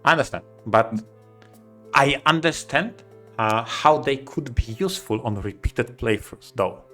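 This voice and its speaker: Non guy on a gaming podcast, bright sharp voice, emphatic, bit of music behind. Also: mid-range voice, lively intonation, measured pace. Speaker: podcast co-host. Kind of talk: casual podcast discussion of video games.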